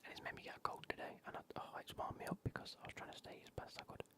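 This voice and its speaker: A man chatting in a whisper while streaming a game, with a cold coming on. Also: racing. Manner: whispered, chatty, repeats phrases. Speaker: gaming streamer. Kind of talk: whispered ASMR gaming livestream.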